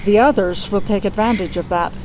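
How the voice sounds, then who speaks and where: Actress as stern older woman trainer, medium pitch, measured, instructive, audio drama, faint ambience. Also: background noise, natural voice. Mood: firm, instructive. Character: stern, composed older female combat trainer and mother.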